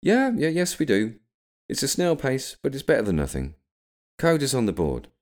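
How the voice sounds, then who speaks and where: A man's voice: medium pitch, wildly swooping pitch, normal speed, a little mellow, pitched above the performer's own voice. A man voicing a friendly, wry young village barmaid in a narrated story. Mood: obliging.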